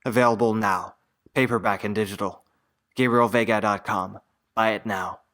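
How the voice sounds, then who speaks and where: A man announcing a scripted promo spot with a measured, punchy delivery. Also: on the low side, steady tone, fairly bright tone.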